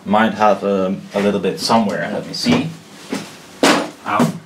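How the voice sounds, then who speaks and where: Guy with a lowish voice explaining his diy thing at a desk, kinda halting, thinks out loud, blunt and casual. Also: little pitch movement, heavy background noise. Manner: halting, self-doubting, trailing off and correcting himself. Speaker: maker explaining a DIY build. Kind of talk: informal hands-on explainer, thinking aloud. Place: indoors at a desk.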